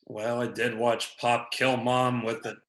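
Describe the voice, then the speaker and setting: A man plays a hostile young patient, gruff and blunt, speaking slowly. The voice sits in an echoey room. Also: mid-range voice, flat delivery, fairly bright tone, close to an everyday voice. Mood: surly.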